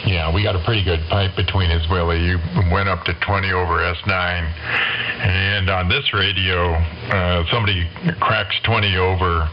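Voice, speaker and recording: A man in a relaxed, chatty exchange as an amateur radio operator, heard over a shortwave link. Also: low-pitched, moderate pace. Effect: shortwave radio transmission. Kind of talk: casual rag-chew radio chat. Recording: muffled, hissy amateur radio signal.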